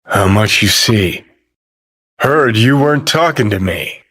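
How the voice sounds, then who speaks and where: A man's voice: lowish voice, lively intonation, slow pace, a bit bright, breathy edge, full character voice. A man acting a brash, cynical rebel rocker ghost in a video game, curt, sardonic and profane, with some noise behind him. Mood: curt, cool.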